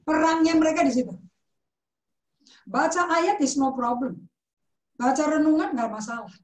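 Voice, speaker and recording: A woman's voice: high voice, moderate pace, roomy sound. A woman pastor teaching in a conversational sermon style, mixing in English words and asking rhetorical questions.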